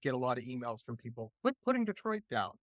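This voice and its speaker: A middle-aged man hosting a radio interview show, chatting with an enthusiastic, animated manner. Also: mid-range voice, quick pace, no room sound, studio quality. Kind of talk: casual interview chat.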